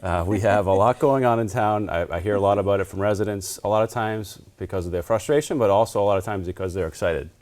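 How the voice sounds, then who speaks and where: A man with a fairly low voice talks through a recipe as a guest cook. His delivery is relaxed and unpolished, full of "uh" and little restarts. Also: fast talker, hardly any pauses. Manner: easygoing, lots of "uh" and restarts. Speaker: town manager, guest cook. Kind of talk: casual cooking demonstration.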